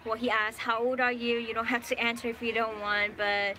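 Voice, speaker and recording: A woman's voice: a little high, level tone, fairly quick, bright, forceful tone, slight background noise, low-quality recording. A woman co-hosting a casual livestream, reading viewer comments aloud and giggling.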